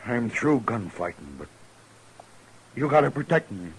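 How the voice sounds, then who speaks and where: An American actor playing a broken, fearful gunfighter in a 1950s radio western, with a halting, pleading frontier drawl. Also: male voice, mid-range voice, very animated, rough recording. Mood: desperate, pleading. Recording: old radio recording with faint noise.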